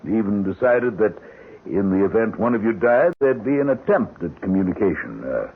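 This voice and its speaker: Blunt suspicious doctor, raspy mid-range voice, earnest, old radio mystery, dull muffled sound. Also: male voice, fairly low pitch, sing-song, heavily acted. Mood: firm, probing. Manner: measured, earnest, insistent. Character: concerned, probing family doctor, blunt and suspicious. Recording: muffled narrowband radio drama.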